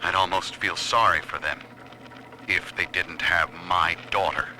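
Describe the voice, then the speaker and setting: Low, tense, determined father. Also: steady tone, measured pace, sharp tone, heavily acted. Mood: grim, resolute. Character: grim, determined father hunting for his taken daughter. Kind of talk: dramatic game audio-log monologue. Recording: faintly noisy, radio-like audio log.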